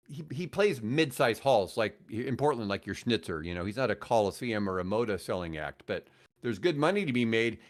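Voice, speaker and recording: A middle-aged American man telling stories in casual conversation as a podcast guest, rambling and enthusiastic. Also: fairly low pitch. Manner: rambling, enthusiastic about music. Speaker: podcast guest, guitarist and radio host.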